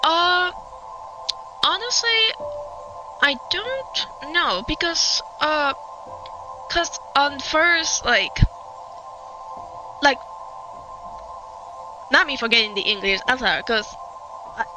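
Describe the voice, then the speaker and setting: A woman's voice: high-pitched, lively intonation, slow, pauses often, low-quality recording. A young woman from Puerto Rico with a Puerto Rican Spanish accent, a native Spanish speaker, rambling casually through game commentary with lots of fillers. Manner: rambling, many fillers and restarts. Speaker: game streamer. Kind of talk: casual let's-play commentary. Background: game music.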